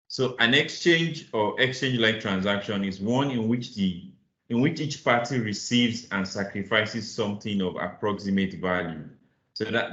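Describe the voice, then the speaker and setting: A man's voice: lowish voice, a bit bright. A man from Nigeria teaching a live online finance class, patiently encouraging answers and reading definitions aloud over a video call. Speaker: financial modeling instructor. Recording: roomy, slightly muffled call audio.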